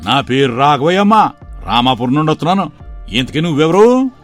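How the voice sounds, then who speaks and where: A man's voice: on the high side, very animated, fairly quick, faint music behind. A man playing a scheming middle-aged village uncle in a dramatised moral story, sly and falsely affectionate, with folksy rustic speech. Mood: puzzled.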